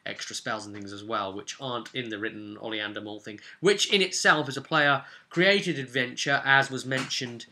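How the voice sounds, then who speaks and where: A man's voice: medium pitch, moderate pace, hardly any pauses, sharp tone. A man hosting a vlog as a game master, explaining casually in a rambling, emphatic way and repeating words for emphasis. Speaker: game master and vidcast host.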